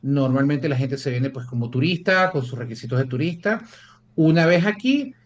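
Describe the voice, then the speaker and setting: A man's voice: medium pitch, expressive, normal speed, muffled recording. A Venezuelan-born man, an immigration lawyer, explaining procedures in an interview, often repeating words as he restarts. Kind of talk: interview answers.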